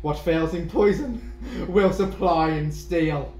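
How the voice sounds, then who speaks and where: Man acting high-voiced gloating avenger, roomy echo. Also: slow pace, darkish tone, a little hiss or hum. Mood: gloating menace. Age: young adult. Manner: theatrical, gloating verse delivery with gleeful laughter. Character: gleefully vengeful brother, mocking, brandishing a poisoned skull. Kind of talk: Jacobean audio drama acting. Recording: roomy, echoing home recording.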